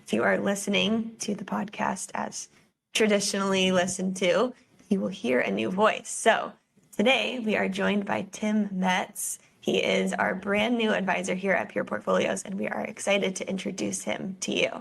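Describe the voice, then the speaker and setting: A woman hosts a casual podcast in an upbeat, welcoming way. Her voice carries a noticeable gravelly fry. She keeps the talk conversational and easy. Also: mid-range voice, little pitch movement, moderate pace. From Florida. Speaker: podcast host.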